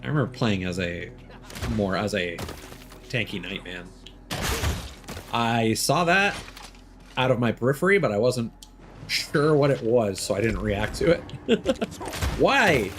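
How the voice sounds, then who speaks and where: Chatty male game streamer, lively pitch. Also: measured pace, long pauses, over music. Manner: chatty, thinks aloud, with fillers and small laughs. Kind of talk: casual live gameplay commentary.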